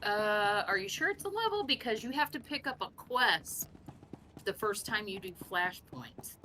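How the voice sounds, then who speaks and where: A woman's voice: medium pitch, sing-song, normal speed, pushed and bright, slight background noise. A woman who is an experienced player, chatting informally and reassuringly with her gaming group. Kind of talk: casual gaming chat.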